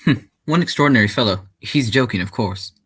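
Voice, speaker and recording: A man playing an earnest, loyal gentleman sidekick who also narrates an old-time radio mystery. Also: medium pitch, animated, brisk, husky. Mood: puzzled. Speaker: actor in a student radio drama.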